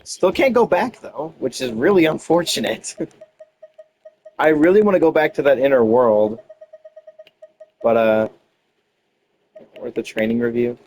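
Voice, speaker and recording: A man's voice: medium pitch, expressive, measured pace, long pauses, darkish tone, slight background noise. A man giving casual gameplay commentary, rambling and thinking aloud as he goes. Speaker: gamer commenting on own playthrough.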